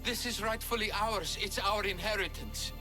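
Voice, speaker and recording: A man's voice: high-pitched, normal speed, over music, natural voice. A man playing a young runaway noble son in a video game, earnest and insistent. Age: young adult.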